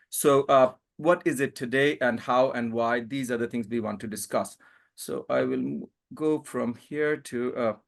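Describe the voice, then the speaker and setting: A man from Bangladesh, giving a conference presentation over a video call in a thoughtful way, often pausing with fillers. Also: mid-range voice. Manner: thoughtful, hedging with frequent fillers. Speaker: panel speaker, academic.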